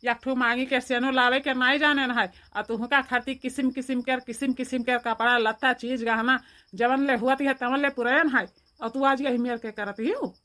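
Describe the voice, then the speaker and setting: A woman's voice: sits high, steady tone, normal speed, sharp tone. A woman voicing an angry, threatening village man in a cartoon, with an Awadhi accent and a hectoring, repetitive delivery. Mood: menacing. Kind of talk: amateur cartoon voice acting.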